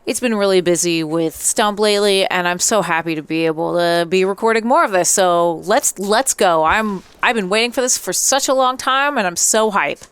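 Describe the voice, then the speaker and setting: A woman's voice: medium pitch, moderate pace, few pauses, fairly bright tone, clear, resonant tone, no room sound. A woman hosting a let's play with casual, playful commentary, chatting and reacting aloud.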